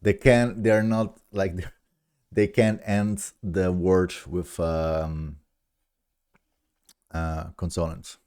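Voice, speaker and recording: A man's voice: sits low, level tone, drawn-out words, pauses often, a little mellow, smooth. A man in his thirties with a German accent, a live-stream host chatting casually and rambling with lots of restarts. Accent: German-accented English. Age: 30s. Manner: rambling, chuckles at himself, many restarts. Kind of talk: casual chat with viewers.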